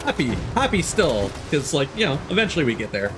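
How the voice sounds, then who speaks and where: A man's voice: on the high side, sing-song, a little fast. A man doing casual live game commentary, rambling and swearing as he muses aloud. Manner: rambling, profane, musing aloud. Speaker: game streamer. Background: game music.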